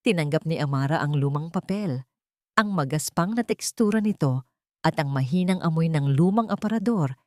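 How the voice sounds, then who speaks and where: A woman storyteller narrating a scripted dramatic tale in a deliberate, emotive manner. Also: fairly low pitch, dark tone, no echo, studio quality.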